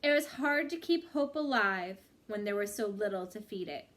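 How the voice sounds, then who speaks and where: A woman reading a book chapter aloud in a measured, expressive storytelling style with drawn-out phrases. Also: mid-range voice.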